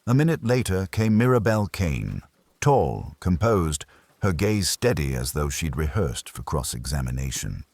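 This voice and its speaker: A man reading a first-person detective story as scripted audiobook narration, in a husky voice. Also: a bass voice, big pitch swings, moderate pace, a little mellow, no room sound.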